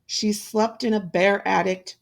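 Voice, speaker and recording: A woman narrating a fairytale in a measured, deliberate way, with a gravelly, creaky voice. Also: mid-range voice, steady tone. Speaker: storyteller.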